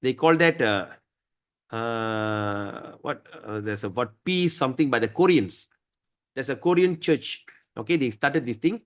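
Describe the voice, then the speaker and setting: Animated man teaching scripture. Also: mid-range voice, a little slow. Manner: animated, emphatic, with frequent "right?" to listeners. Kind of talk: scripture study class.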